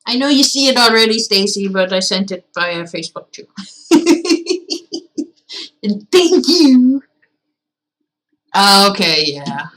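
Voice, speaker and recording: A woman's voice: mid-range voice, very animated, slow, pauses often, a bit bright. A woman streaming a game with casual, teasing, irreverent commentary, chatting as she plays.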